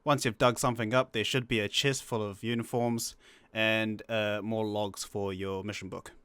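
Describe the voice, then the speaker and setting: A man's voice: on the low side, little pitch movement, moderate pace, a bit bright, clean tone, no room sound. A man co-narrating a video game walkthrough in a casual, breezy, slangy way as he gives instructions. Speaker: guest co-narrator of a gaming guide.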